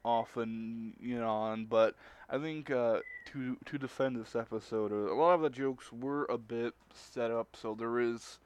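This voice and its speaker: A man co-hosting a casual group chat, talking in a rambling, halting way. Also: medium pitch, fairly even intonation, a little mellow, a little hiss or hum. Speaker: podcast co-host.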